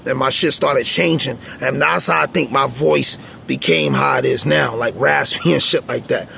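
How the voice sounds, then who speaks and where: A man with a raspy voice telling stories in a blunt, streetwise, rambling monologue. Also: mid-range voice, normal speed, sharp tone, a little hiss or hum. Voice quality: raspy, gravelly voice. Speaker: channel host, former prisoner. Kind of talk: casual unscripted monologue.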